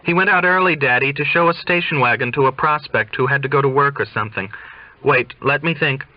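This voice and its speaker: A man's voice: medium pitch, a little fast, highs cut off, voice pushed up, lightly acted. A man reading an audiobook as a tipsy young mother who is brittly cheerful, defensive and insistently reassuring. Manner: insistent, over-bright reassurance.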